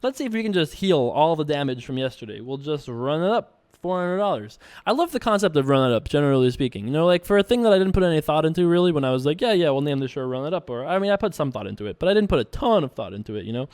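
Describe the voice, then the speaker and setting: A man talks casually over his own online poker play, rambling from hand to hand. His voice sits a little high and comes through smooth and clear. The recording is dry and close, and his jokes are often at his own expense. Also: big pitch swings, quick pace, hardly any pauses, darkish tone, dry recording. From United States. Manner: rambling, jokey, self-mocking, laughs at his own jokes. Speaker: poker video host.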